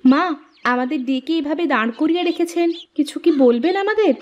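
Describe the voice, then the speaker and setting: A woman voicing an eager, cunning young daughter-in-law in a fairy-tale cartoon, animated and deferential. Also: high-pitched, moderate pace, muffled recording, close to an everyday voice. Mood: impatient, polite. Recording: music behind the voice.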